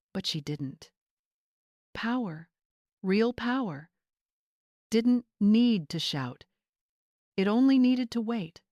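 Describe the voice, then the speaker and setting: A woman's voice: medium pitch, a little mellow, no room sound, studio quality. A woman narrator reading a scripted dramatic story in audiobook style.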